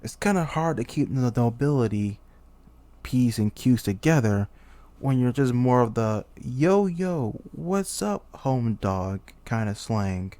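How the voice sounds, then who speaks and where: A proud, humiliated demon king, played by a man in a theatrical character monologue. His voice sits at a medium male pitch and is recorded dry, with hardly any room. He is exasperated and self-pitying, with lofty phrasing that slips toward the plainly human. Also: expressive, slow, mellow, no echo. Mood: weary, wry. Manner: theatrical, exasperated, sighing, lofty speech slipping into slang. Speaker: voice actor. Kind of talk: dramatic character monologue for a voice reel.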